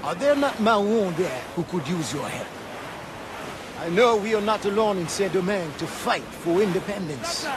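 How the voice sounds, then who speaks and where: A man's voice: sits high, lively intonation, normal speed. A man playing an inquisitive shipmate questioning his captain in a video game. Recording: loud sea waves and wind behind.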